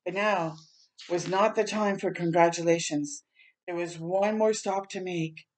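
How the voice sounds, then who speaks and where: A woman's voice: lowish voice, fairly even intonation, a little slow, a little mellow, a little hiss or hum, rough recording. A woman reading a picture book aloud for children as a storytime host, in a dramatic, heartfelt and emphatic manner.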